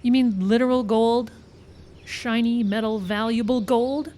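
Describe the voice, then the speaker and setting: A woman's voice: a little high, steady tone, unhurried, warm tone, natural voice. A woman playing an exasperated, sceptical, no-nonsense film team member in a live radio-style comedy, with pointed, commanding delivery. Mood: incredulous. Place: outdoor park stage. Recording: outdoor live show with background noise. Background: birds and outdoor ambience.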